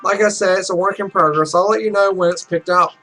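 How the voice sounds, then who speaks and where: A man voicing a dry, businesslike esports recruiter in a visual novel, measured and evasive. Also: high voice, fairly even intonation, sharp tone, faint music behind, heavily acted, rough recording. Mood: guarded.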